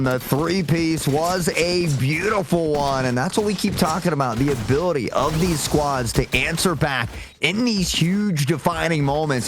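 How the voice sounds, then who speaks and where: A man's voice: a little high, vocal fry. A man giving fluent, wordy live analysis as an esports commentator, with playful turns of phrase. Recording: faint game noise and clicks.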